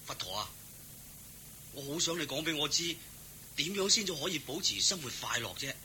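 Eager humble cowherd, bright and lively. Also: male voice, a little high, moderate pace, a little hiss or hum, heavily acted. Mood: curious, eager. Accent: Hong Kong Cantonese. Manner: eager, deferential, exclamatory. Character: eager, humble, good-hearted male cowherd servant. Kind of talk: radio drama acting.

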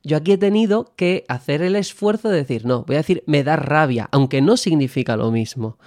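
A man from Andalusia, Spain, hosting a podcast, asking lively questions in casual conversation. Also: a little high, brisk, no room sound, clean studio sound. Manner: inquisitive, lively, asks questions. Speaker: podcast host, philologist.

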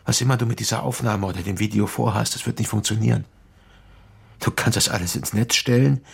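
An actor playing a combative middle-aged politician in a naturalistic radio crime drama, husky and gravelly, blunt, scornful and condescending. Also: male voice, fairly low pitch, heavily acted. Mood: scornful, defiant. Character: combative, arrogant middle-aged male politician, scornful, cornered yet defiant.

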